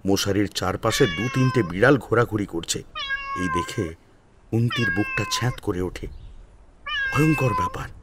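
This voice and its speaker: A man narrating a story as a dramatic audio storyteller, in an expressive, suspenseful manner. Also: medium pitch, noisy background.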